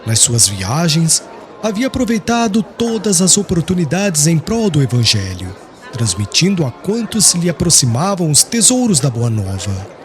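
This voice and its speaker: A man's voice: fairly high pitch, sing-song, normal speed, soft background music. A man narrating an audiobook in a solemn, literary style.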